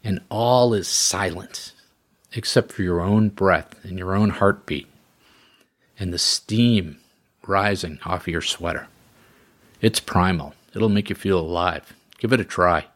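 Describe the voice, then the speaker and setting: Low-voiced man hosting a running podcast. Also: a little slow. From New England, US. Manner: relaxed, folksy, encouraging. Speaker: podcast host. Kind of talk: podcast monologue and interview.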